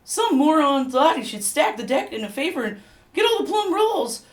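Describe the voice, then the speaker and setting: A woman's voice: sits high, big pitch swings, normal speed, far from the mic. A woman playing an aggrieved, gossipy anonymous actor who insinuates about colleagues in a comedy mock interview. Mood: snippy, aggrieved. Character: anonymous gossipy insider wolf-role actor, snippy and aggrieved.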